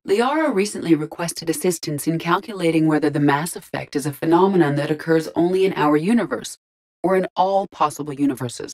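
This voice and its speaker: An actress voices a female starship artificial intelligence from a sci-fi video game, living in an android body. She is logical and composed, choosing formal words and placing each one with measured precision. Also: on the low side, darkish tone, close to an everyday voice. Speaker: video game character voice actor. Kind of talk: scripted game dialogue, isolated voice lines.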